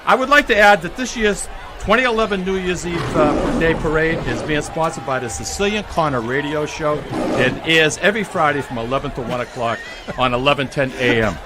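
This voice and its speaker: A man's voice: on the high side, brisk, a crowd in the background. A man giving joking, mock-serious live radio commentary as host of an outdoor parade broadcast. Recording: noisy outdoor street broadcast.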